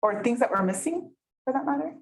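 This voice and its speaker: A woman's voice: on the high side, animated, a little fast. A woman whose first language is Spanish leads a community workshop in a chatty way, often checking in with the group. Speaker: workshop facilitator. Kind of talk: interactive workshop teaching. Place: meeting room, with some people online.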